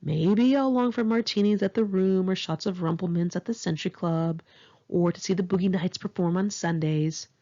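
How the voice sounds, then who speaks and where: A Californian American woman reading her own memoir aloud in a candid, expressive, conversational way, with a husky, creaky voice. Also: lowish voice, moderate pace, dull audio. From Los Angeles area, California, United States. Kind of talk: narrated memoir audiobook, one-take home reading.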